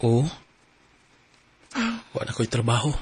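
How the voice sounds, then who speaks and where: A man's voice: mid-range voice, steady tone, slow, heavy background noise, natural voice. An actor playing an earnest young man in a radio drama. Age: young adult. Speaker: boyfriend Rob.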